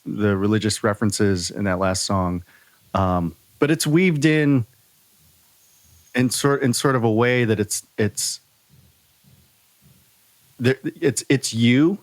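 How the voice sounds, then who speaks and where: A man co-hosting a podcast, chatting casually with halting, thoughtful phrasing. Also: fairly low pitch, no room sound. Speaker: podcast co-host. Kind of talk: casual chat.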